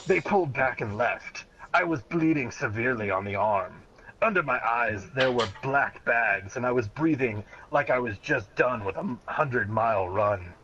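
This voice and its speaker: A man reads a story aloud with mock-serious drama, prone to cracking up as he goes. His voice sits at a middling pitch for a man. The recording is dull and lo-fi, with clear noise behind him. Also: lively intonation, moderate pace, bright, forceful tone. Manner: mock-serious, often breaking into laughter. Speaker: reader of a childhood story.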